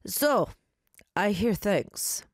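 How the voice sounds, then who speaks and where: A woman voicing an older male mafia boss in a storybook reading, with slow, deliberate threats and a put-on mobster accent that drifts toward Russian. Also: medium pitch, lively intonation, no echo, clean studio sound. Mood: menacing. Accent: attempted Italian mobster, drifting Russian.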